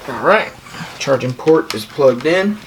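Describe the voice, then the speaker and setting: A man's voice: medium pitch, lively intonation, a little slow. A man hosting a gadget review, chatting casually and informally as he demonstrates things. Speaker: product review host. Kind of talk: casual hands-on demo chat. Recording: very noisy amateur camera audio.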